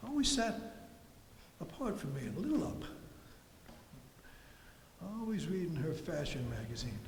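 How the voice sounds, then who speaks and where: A wistful older man played by an actor reminisces on stage in a halting, chatty way. His voice is fairly high and lively, with roomy hall echo around it. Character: wistful, chatty older retired male commuter. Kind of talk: live one-act stage play monologue. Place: indoor theatre stage, recorded from a distance. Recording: echoey hall stage recording with faint noise.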